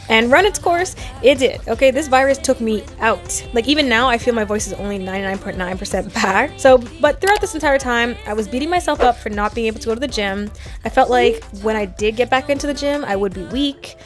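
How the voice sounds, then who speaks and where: Chatty young woman narrating a vlog. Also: fairly high pitch. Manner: chatty storytelling, self-deprecating laughs. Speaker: fitness vlogger. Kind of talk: voice-over narration.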